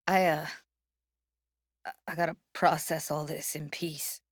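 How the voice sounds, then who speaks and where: A young, tech-savvy woman from a video game, speaks in a lowish voice with a dry, close studio sound. She is blunt and guarded but tender underneath. Her phrasing is casual, slangy and clipped, delivered naturally. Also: little pitch movement, a little slow, no echo. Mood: subdued, hurt.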